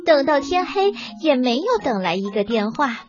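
A woman narrating a children's story as an animated storyteller, reading from a script. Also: high-pitched, moderate pace. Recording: band-limited dull sound with music behind.

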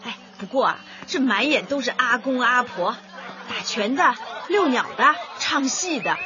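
A high-voiced young woman, chatty and easily flustered. Her delivery swoops expressively and is full of exclamations, in a muffled radio drama recording over a busy background. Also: lively intonation, measured pace, pushed and bright, some chatter behind, slight background noise. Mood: chatty, wry. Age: young adult. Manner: exclamatory, effusive, full of sighs and interjections. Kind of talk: radio drama acting, phone monologue.

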